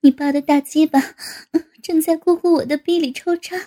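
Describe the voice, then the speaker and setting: A woman voicing a shy, flustered middle-aged woman, breathless and whimpering, in a very high, soft voice. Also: fairly even intonation, moderate pace, close to an everyday voice. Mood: bashful.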